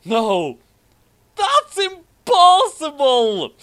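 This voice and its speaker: Guy doing defiant young anime hero, shouty and melodramatic, voice pitched way up. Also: wildly swooping pitch, extremely slow, full character voice. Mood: anguished. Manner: shouting melodramatic battle cries. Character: passionate young male anime fighter, overwrought and defiant.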